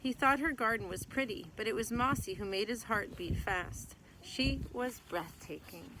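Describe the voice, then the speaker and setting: A woman's voice: a little high, normal speed. Woman reading a storybook, noisy background. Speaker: teacher reading aloud to a class. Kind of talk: picture book read-aloud, scripted narration. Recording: clear background noise.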